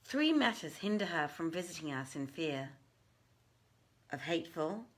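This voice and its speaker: A woman reading a classic tale aloud as a dramatic, deliberate and theatrical storyteller. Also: sits low, big pitch swings. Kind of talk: dramatic literary narration.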